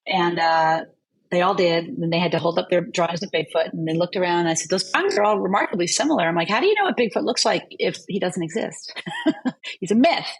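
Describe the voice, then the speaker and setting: Husky-voiced woman professor telling stories. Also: lowish voice, expressive, a little fast, low-quality recording. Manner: vivid, storytelling explanations. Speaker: university linguistics professor. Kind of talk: conversational interview.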